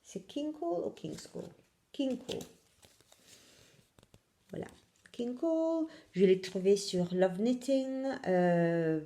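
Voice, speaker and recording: Slow, hesitant Italian woman with echo. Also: fairly low pitch, warm tone. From Italy. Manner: hesitant, many fillers and self-corrections, laughs often. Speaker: knitting podcast host. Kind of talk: casual knitting video podcast.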